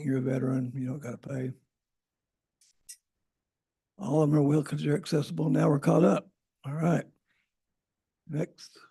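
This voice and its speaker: A man with a gravelly, very husky voice walks a meeting through his slides. He talks informally and rambles, often stopping to correct himself. His tone is dark and rough. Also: a little high. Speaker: transit agency presenter. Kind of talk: presentation at a public meeting. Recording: Zoom meeting audio.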